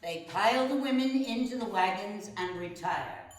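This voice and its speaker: A woman's voice: mid-range voice, expressive, normal speed, a crowd in the background. A woman narrator reading stage directions in a scripted dramatic reading. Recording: very echoey room, distant mic, noise.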